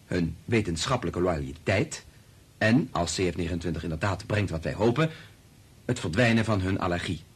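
A man playing a principled research doctor in a radio drama, arguing in an emphatic, deliberate, exasperated way. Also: sits low, husky. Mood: firm, measured. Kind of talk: Dutch radio drama acting.

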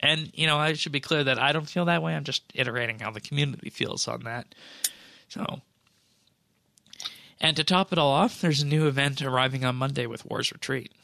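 A man co-hosting a podcast, chatting casually about game news in a measured, hesitant way. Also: mid-range voice, gravelly, sharp tone, dry recording, studio quality. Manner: measured, hesitant, drawn-out phrasing. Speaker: podcast co-host. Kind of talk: casual gaming news chat.

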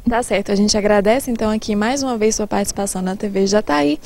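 A woman's voice: medium pitch, fast, darkish tone. A young woman with a Brazilian Portuguese accent, working as a TV reporter, presenting and interviewing into a handheld mic outdoors. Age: young adult. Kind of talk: live news reporting and interviewing. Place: outdoors in a building's garden. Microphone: handheld reporter microphone.

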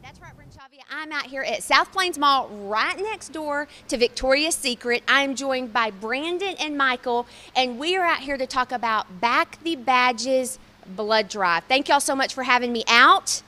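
A woman's voice: sits high, sharp tone. A woman field reporter, interviewing guests on a handheld mic in a large indoor mall space, bubbly and upbeat. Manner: bubbly, quick questions to guests.

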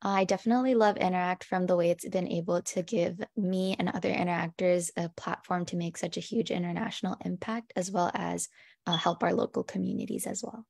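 Teen female panelist, earnest, slight fry, dry close sound. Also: lowish voice, steady tone, a little fast, darkish tone, dry recording. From United States. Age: teens. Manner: earnest, enthusiastic. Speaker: youth panelist. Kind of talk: prepared panel answers. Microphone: video call.

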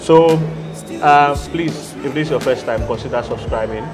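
A man's voice: medium pitch, normal speed, rough recording. A young Ghanaian man hosting his YouTube interview, upbeat, laughing and repeating words. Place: indoor studio set. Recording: music playing behind the voice.

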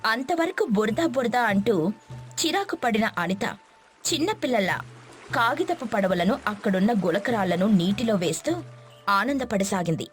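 A woman's voice: medium pitch, animated, moderate pace. A woman reading scripted narration for a moral story, with music behind her.

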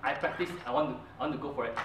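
A man's voice: medium pitch, very fast. A young man whose first language is Mandarin, giving an earnest prepared speech at a club meeting in a medium-sized indoor room, picked up by a distant camera. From Brunei. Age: young adult. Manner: earnest storytelling, with an animated, emphatic delivery. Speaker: club speaker. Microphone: far camera. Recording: roomy, distant, noisy room recording.

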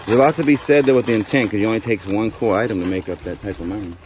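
Chatty storage-hunter guy, lowish pitch, recorded on camera in a noisy unit. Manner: chatty, speculating, quick pitch jumps when excited. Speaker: storage auction vlogger. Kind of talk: casual chat while sorting a storage unit.

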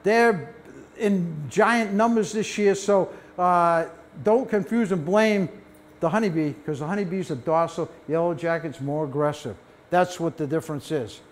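An older man with a high voice leads a library talk on beekeeping, heard from a camera out in the room. He tells stories with lively energy, jumping up in pitch as he acts out what people said. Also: moderate pace. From United States. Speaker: beekeeping presenter. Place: library meeting room, mid-sized, indoors.

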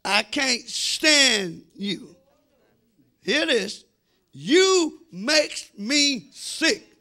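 A man's voice: very high-pitched, big pitch swings, drawn-out words, sharp tone. A middle-aged American man preaching a sermon emphatically, drawing out phrases and addressing his congregation directly. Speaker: senior pastor preaching. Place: church sanctuary before a congregation. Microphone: handheld microphone.

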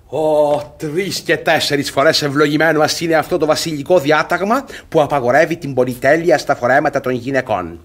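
An actor playing a smug, gullible, domineering guardian in a radio comedy, with a pompous, gloating manner. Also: male voice, a little high, hardly any pauses, close to an everyday voice. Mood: smug delight. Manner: pompous, self-satisfied, gloating. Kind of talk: theatrical radio comedy acting.

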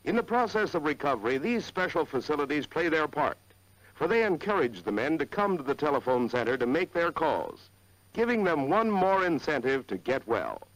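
A man's voice: a little high, big pitch swings, normal speed, no room sound, low-quality recording. A man with an American accent narrating a 1940s educational film in an earnest, polished, formal way on a vintage soundtrack.

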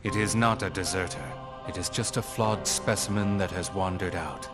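A man's voice: sits low, moderate pace, over music, close to an everyday voice. A man playing a stern, icy second-in-command in a video game, with a cold, formal, contemptuous manner. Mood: icy correction. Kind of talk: dramatic video game voice acting.

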